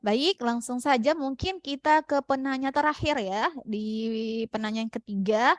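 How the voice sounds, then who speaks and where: A woman's voice: fairly high pitch, a little slow, no room sound, clean studio sound. A woman with an Indonesian accent moderating questions in an online class.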